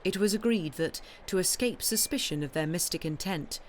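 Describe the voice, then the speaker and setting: A woman reading a literary story aloud as an expressive narrator on a live stream. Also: lowish voice, normal speed, slight background noise.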